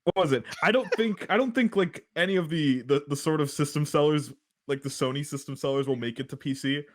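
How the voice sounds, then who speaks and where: A man's voice: fairly high pitch, brisk. A man on a gaming talk show panel, thinking aloud in casual discussion.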